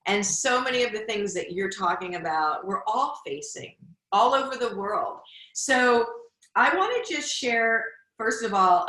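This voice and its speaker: A woman's voice: mid-range voice, pushed and bright. A middle-aged woman hosting a podcast interview, speaking in an effusive, encouraging way. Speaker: podcast host, former hospice nurse. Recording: roomy echo.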